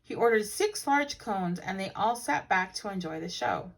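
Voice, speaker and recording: A woman reading a children's picture book aloud as a teacher, with animated, dramatic emphasis. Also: medium pitch, moderate pace, gravelly. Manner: animated, dramatic emphasis with hushed asides to listeners.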